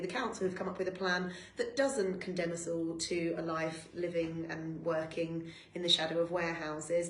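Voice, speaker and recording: Wry woman, low voice, echoey room. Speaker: campaign co-host. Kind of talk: casual live-stream recap chat. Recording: echoey room, faint hiss.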